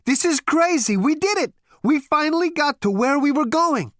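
A man voicing a brash, excitable alien hipster in an audiobook, cocky, slangy and full of exclamation. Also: extremely high pitch, unhurried, dry recording, studio quality, voice pushed up, heavily acted. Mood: elated. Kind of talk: audiobook character voice.

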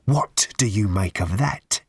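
A man's voice: lowish voice, expressive, takes it slowly, husky, no echo, clean studio sound, heavily acted. A man voicing a gloomy, indignant lorry driver who complains emphatically in an audiobook story. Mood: dark, bitter. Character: ranting aggrieved gloomy male lorry driver.

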